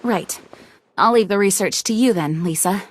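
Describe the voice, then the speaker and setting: A woman voicing a dignified, earnest young knight commander in a video game, speaking in a formal, measured way. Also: mid-range voice, natural voice. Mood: solemn, grateful. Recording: music behind the voice.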